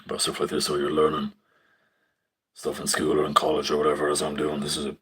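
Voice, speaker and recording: Young man, very deep husky voice, flat delivery, casual rambling tutorial with swearing, phone mic. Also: fast talker. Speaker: stage tech student. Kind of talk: casual live screen walkthrough. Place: indoors at a screen. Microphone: phone filming a screen.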